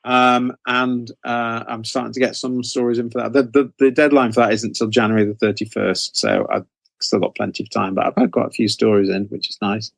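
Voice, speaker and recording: Male interview guest, middle-aged. Informal, self-correcting delivery with a creaky edge, recorded dry. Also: mid-range voice, little pitch movement, a little fast, dry recording. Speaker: guest author interviewed about writing career. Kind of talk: casual interview chat.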